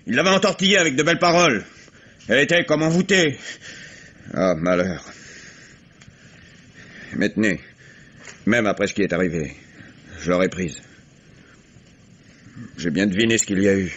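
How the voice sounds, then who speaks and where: A husky, bitter jealous local. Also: male voice, on the low side, animated, moderate pace, long pauses, fairly bright tone. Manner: brooding, muttering, heated. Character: bitter, jealous local man, former suitor. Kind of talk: 1950s radio drama acting.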